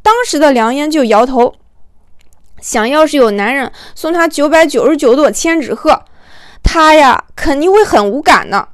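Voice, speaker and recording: A woman with a high voice reads a light romantic comedy novel as an audiobook. She tells it expressively and colloquially, drawing out little particles like a storyteller. The sound is dry and close, with no room to it. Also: lively intonation, moderate pace, no room sound. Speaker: audiobook narrator. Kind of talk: expressive scripted narration of a comic romance novel.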